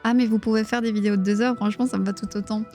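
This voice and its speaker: A woman with a Belgian accent reading a book aloud on a live stream in a hushed, near-whispering voice, stopping to chat with her viewers. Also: mid-range voice, fairly even intonation, racing, faint music behind. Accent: Belgian French. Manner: reads narration in a hushed, near-whispered voice, chats plainly with viewers.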